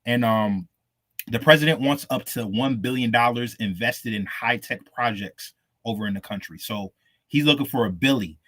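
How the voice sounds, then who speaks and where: A man's voice: medium pitch, normal speed, a bit bright. A man co-hosting a casual crypto podcast, reading out news stories in a laid-back, slangy way. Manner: laid-back slangy delivery, calls listeners "fam". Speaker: co-host.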